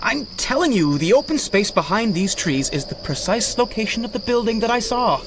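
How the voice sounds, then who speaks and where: An actor playing an earnest, indignant, headstrong inventor-hero in a 1930s-style radio drama. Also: male voice, high voice, moderate pace, noisy background. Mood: insistent. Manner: earnest, insistent, excitable.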